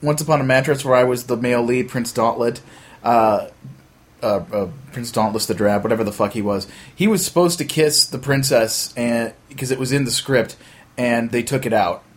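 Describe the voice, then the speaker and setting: A man in casual conversation, interjecting with his own stories and swearing in a relaxed way. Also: medium pitch, moderate pace. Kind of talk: casual chat.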